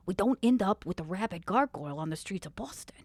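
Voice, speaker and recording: A woman's voice: mid-range voice, lively intonation, fast, darkish tone, no echo. A woman playing a shrewd, protective vampire fixer in improvised role-play, with a folksy, slangy, coolly threatening manner. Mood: businesslike.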